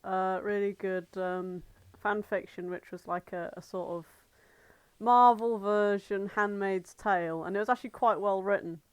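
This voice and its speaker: A young British woman co-hosting a podcast, chatting casually and comically, telling stories in an animated way and acting out bits of dialogue. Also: medium pitch, a little slow, clear, resonant tone, no echo. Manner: animated storyteller, acts out imagined dialogue. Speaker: podcast co-host. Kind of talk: casual comedic chat.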